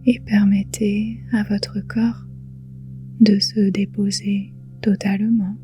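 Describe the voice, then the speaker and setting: A woman guiding a relaxation meditation in a soothing, hushed, breathy voice, leaving long pauses between phrases. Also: mid-range voice, level tone, faint music behind. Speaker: yoga nidra guide.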